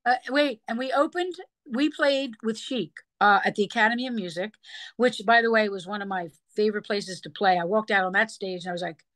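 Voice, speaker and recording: A woman singer telling stories in a casual interview, animated and given to scatting. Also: medium pitch, brisk, no echo. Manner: animated storyteller who breaks into scat sounds. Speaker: singer and guest.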